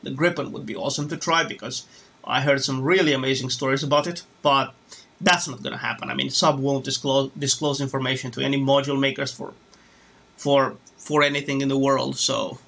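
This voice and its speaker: A man's voice: medium pitch, fairly even intonation, fairly quick, creaky, bright, forceful tone. A man from Romania chatting casually on a live stream while flying a simulator, rambling and restarting his sentences. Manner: rambling, hesitant, many restarts. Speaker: flight sim streamer.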